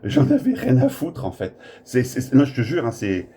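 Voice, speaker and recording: A man's voice: medium pitch, huge pitch swings, moderate pace, warm tone. A French man chatting as a radio operator in a blunt, colloquial, rambling way. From Brittany, France. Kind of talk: casual radio contact chat. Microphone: smartphone recording in the room. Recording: clear faint background noise, smartphone recording.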